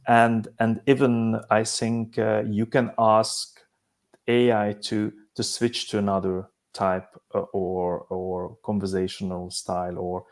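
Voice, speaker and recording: Hungarian-accented man, halting speech. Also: on the low side, level tone. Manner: halting, many restarts and repeated words. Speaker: guest, business simulation company head. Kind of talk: casual discussion.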